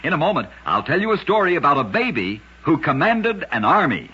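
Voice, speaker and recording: A man's voice: a little high, very animated, a little fast, sharp tone, slightly rough. A man narrating short curiosities for radio in a scripted, dramatic style with emphatic storytelling. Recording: dull lo-fi old radio recording.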